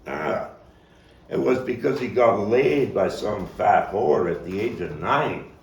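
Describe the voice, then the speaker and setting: A man performing a theatrical monologue as a coarse, wry, streetwise Brooklyn man looking back on his boyhood gang, telling it with dramatic pauses and emphatic swells. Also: fairly low pitch, lively intonation, creaky, exaggerated voice. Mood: wry, nostalgic. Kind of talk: theatrical monologue, table read. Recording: roomy, distant mic, faint noise.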